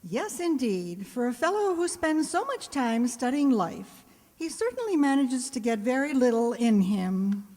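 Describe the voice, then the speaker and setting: A woman playing a wry, lovelorn high school English teacher in a radio comedy, with dry, sardonic delivery. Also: sing-song, a little slow, dark tone, in a large hall, full character voice. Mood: wry narrating. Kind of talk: 1940s radio comedy acting.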